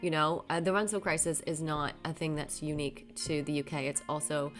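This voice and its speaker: A young woman with a Canadian accent talks casually to camera, a bit stuffy from a cold. Her voice sits slightly low, and she rambles along with plenty of fillers. Soft music plays faintly underneath. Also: level tone, a little fast. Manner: chatty, rambling, with fillers and little laughs. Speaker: vlogger. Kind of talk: casual talking-to-camera vlog.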